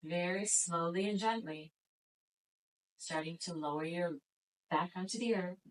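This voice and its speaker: A woman guiding a floor exercise class as instructor, giving slow, gentle, soothing cues. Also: fairly low pitch, rough recording. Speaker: yoga instructor.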